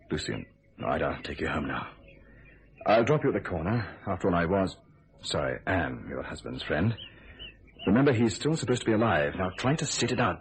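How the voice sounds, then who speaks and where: A troubled secret lover in a radio thriller. His voice is fairly low and very expressive as he soothes and evades, earnest and dramatic, with faint noise on the recording.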